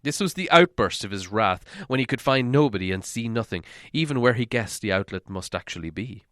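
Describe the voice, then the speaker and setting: A man reading an audiobook as a dramatic storyteller, expressive and theatrical. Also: lowish voice, fairly quick, no room sound, clean studio sound. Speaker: audiobook reader.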